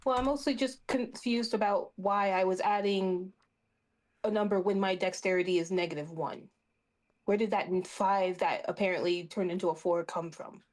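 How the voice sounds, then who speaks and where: A woman's voice: mid-range voice, fairly even intonation, moderate pace, a little mellow, rough recording. A woman chatting casually as a player in a tabletop game, sounding unsure and questioning.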